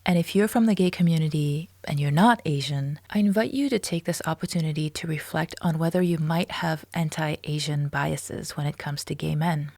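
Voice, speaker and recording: Female podcast host, lowish voice, reflective direct-to-listener commentary, close and dry recording. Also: moderate pace, hardly any pauses, dark tone, no room sound.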